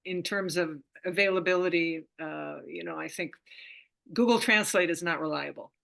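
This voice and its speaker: An older woman talks over a video call from home, her voice bright and clear. She is chatty and rambling, often breaking off and restarting mid-sentence as she answers questions. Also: lowish voice, moderate pace. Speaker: guest presenter, weaver.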